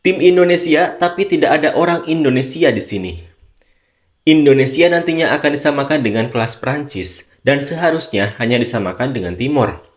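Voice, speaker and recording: A man's voice: a little high, animated, fairly quick. Man narrating football news. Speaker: sports channel narrator. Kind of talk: scripted narration reading news and online comments.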